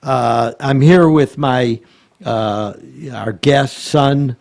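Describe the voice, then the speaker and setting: A man hosting a casual talk show, speaking haltingly with many filler sounds. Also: mid-range voice, a little mellow. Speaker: host and law firm founder.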